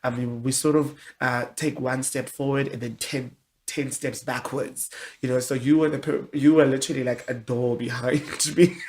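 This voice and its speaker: A young woman with a deep voice, speaking candidly and expressively as a guest in a casual interview. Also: normal speed. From South Africa. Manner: candid, expressive, with many restarts.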